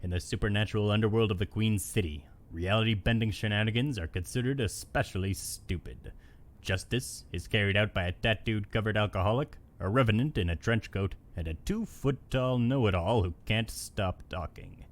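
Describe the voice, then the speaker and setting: A low male voice acting as a crime-show announcer. He is solemn and portentous, delivering scripted dramatic narration with a deadpan straight face. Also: normal speed, lightly acted.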